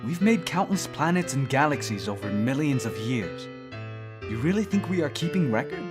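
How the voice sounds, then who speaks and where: A man's voice: medium pitch, very animated, moderate pace, close to an everyday voice. A man playing a smug, teasing immortal thousands of years old in an audio drama, with a sardonic, needling manner. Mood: wry. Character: teasing three-thousand-year-old dream maker, smug male immortal. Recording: voice under plucked guitar music.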